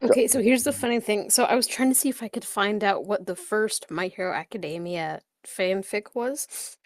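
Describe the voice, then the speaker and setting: A woman co-hosting a casual podcast chat, adding quick, playful quips. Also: medium pitch, no room sound, studio quality. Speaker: podcast co-host.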